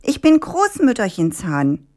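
A woman's voice: medium pitch, very animated, moderate pace, lightly acted. A woman voicing a cocky, cunning, easily offended rooster in a storybook tale, with a defiant, needling manner. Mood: goading, indignant.

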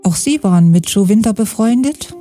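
Woman, radio interviewer, formal and measured, very dark mellow tone, faint music behind. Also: medium pitch, lively intonation. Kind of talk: interview with prepared questions.

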